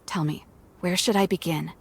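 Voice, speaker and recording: A woman's voice: fairly low pitch, fairly even intonation, normal speed, slightly rough. An actress playing a determined, hopeful young werewolf woman in a game cutscene, speaking in an earnest, resolute and pleading way. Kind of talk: dramatic game cutscene acting.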